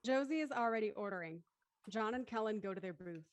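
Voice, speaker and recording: A woman's voice: mid-range voice, animated, fairly quick, muffled recording. A woman reading stage directions as scripted narration for a drama.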